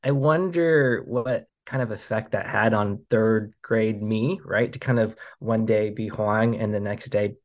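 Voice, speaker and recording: A man in his thirties from the United States, a filmmaker speaking as a guest in a casual interview, halting with many fillers and restarts. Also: lowish voice, darkish tone.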